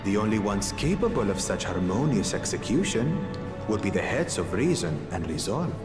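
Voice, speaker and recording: Deep, gloating reptilian brute, echoing. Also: male voice, very animated, moderate pace. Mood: gloating, sly. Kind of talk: video game voice acting. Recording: echoey, loud game music behind.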